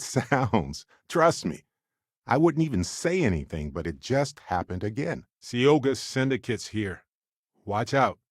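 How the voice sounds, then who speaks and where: Wry, low-voiced male space explorer. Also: no echo, studio quality. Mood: casual, musing, then alert. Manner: wry, playful, expressive. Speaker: video game voice actor. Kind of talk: acted video game companion dialogue.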